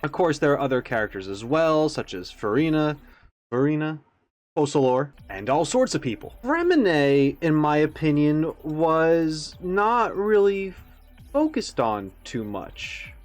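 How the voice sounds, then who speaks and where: A man hosting a reaction video with casual commentary, stressing words theatrically and pausing for emphasis. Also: a little high, sing-song, soft background music.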